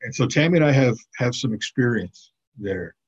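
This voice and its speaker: A man's voice: mid-range voice, lively intonation, normal speed, breathy edge. A man sharing a personal experience casually in a group, hesitant with bursts of emphasis. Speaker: church group participant. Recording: dull, lo-fi sound.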